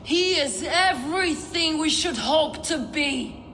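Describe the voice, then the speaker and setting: A woman playing a fanatical, unrepentant zealot villain in a video game, preaching in a grand, deliberate manner. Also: high voice, lively intonation, a bit bright, a little hiss or hum, heavily acted. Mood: reverent. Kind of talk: dramatic video game acting.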